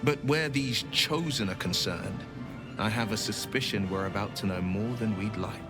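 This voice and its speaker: An actor playing an earnest, solemn young nobleman adventurer bound by a devil's pact, telling things in a measured way for a video game. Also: fairly low pitch, over music, natural voice. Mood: grave. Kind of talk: video game dialogue acting.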